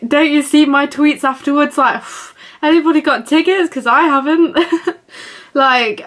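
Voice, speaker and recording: A woman's voice: a little high, a bit bright. A young woman, chatting casually to camera in her bedroom, giggling and rambling with lots of fillers. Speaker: vlogger. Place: small bedroom indoors.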